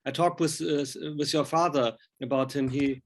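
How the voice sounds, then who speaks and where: A man's voice: mid-range voice, fairly even intonation, moderate pace. A man speaking non-native English, interviewing informally with rambling, enthusiastic fan talk. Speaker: interviewer, film and music journalist.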